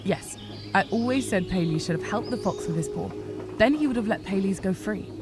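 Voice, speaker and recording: A woman plays a young female rabbit heroine in a fantasy game, sensible and frank. The delivery is matter-of-fact with a dry touch, at a medium pitch, over clearly audible music. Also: expressive, brisk, close to an everyday voice. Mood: patient, dry. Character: level-headed, plain-spoken young female rabbit heroine. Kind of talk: character acting in a fantasy visual novel. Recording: music behind the voice.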